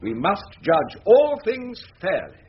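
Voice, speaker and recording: An actor playing a deferential, ambitious middle-aged barrister with an upper-class English accent in a radio drama. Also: male voice, high voice, huge pitch swings, slow, slightly rough, full character voice. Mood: earnest, formal. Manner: hesitant, stammering, eager to please. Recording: dull radio recording, faint noise.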